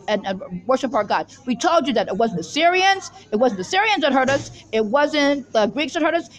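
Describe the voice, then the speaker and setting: A woman giving a rambling, unscripted religious monologue in an insistent, repetitive, disjointed way. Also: fairly high pitch. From Israel. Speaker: self-styled religious teacher introducing the weekly Torah portion.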